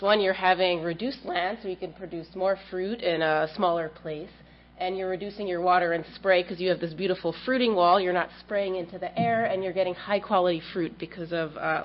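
A woman in her thirties with a cold giving an academic research seminar, explaining her work with frequent ums. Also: lowish voice. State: has a cold, slightly stuffy. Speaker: plant scientist giving a research talk. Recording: dull lo-fi lecture audio with faint hum.

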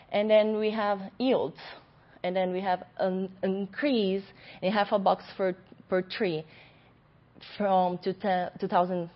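A young adult woman, a graduate student, giving an academic conference presentation in a loud voice in a lecture room. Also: medium pitch. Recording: dull lo-fi recording.

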